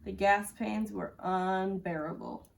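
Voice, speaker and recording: A woman's voice: medium pitch, fairly even intonation, takes it slowly, creaky. A woman reading a children's picture book aloud as a storyteller, recorded at a distance from the microphone. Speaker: school storyteller.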